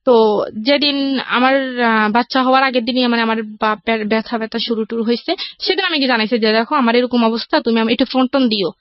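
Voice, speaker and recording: A woman's voice: a little high, fast talker, dry recording, dull audio. A woman from rural Bangladesh with a Bangladeshi Bengali accent, telling her life story as an interview guest in a rambling, repetitive, conversational way.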